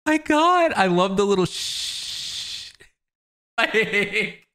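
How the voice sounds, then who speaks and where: Young guy doing a reaction vid, excitable, pitch all over, close mic. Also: very slow. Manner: excitable, exclamatory. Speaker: reaction video host. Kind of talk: casual reaction commentary. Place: small indoor room. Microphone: close microphone on boom arm.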